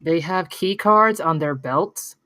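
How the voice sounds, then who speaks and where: A matter-of-fact drone pilot, read by a woman at a table read. The voice is low for a woman, with a dry, close sound. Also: takes it slowly, sharp tone, dry recording.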